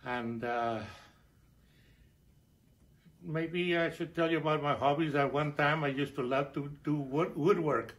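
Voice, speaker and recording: An older man from Chile with a Chilean Spanish accent, chatting at home in a halting way, full of fillers and restarts. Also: on the high side. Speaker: interviewee. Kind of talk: casual conversation. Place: living room at home, indoors.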